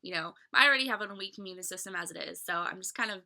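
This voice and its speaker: A woman's voice: medium pitch, quick pace, creaky, pushed and bright. A young woman in her twenties with a slightly stuffy voice from a cold, chatting casually to her webcam in a small bedroom and rambling with lots of fillers and restarts. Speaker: vlogger reviewing a fan convention. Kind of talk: casual talk to camera.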